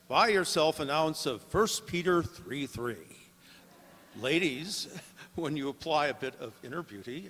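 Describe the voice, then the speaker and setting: A cheery old-time radio pitchman, played by a man, theatrical and fairly high. He swoops through his sales patter in an echoey hall. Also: a bit bright. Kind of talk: 1940s radio advertisement parody.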